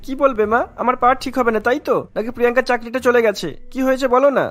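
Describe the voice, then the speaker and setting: Woman acting a rapid, anxious young husband. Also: a little high, steady tone, natural voice. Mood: anxious, ashamed. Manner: anxious, questioning. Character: worried, ashamed adult son, an invalid husband. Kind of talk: Bengali cartoon dialogue. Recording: music behind the voice. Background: music.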